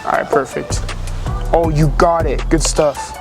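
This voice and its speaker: A young man playing an excited young guy about to leave for college, speaking eagerly with a few stumbles. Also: on the high side, measured pace, lightly acted. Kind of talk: amateur skit acting. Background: music.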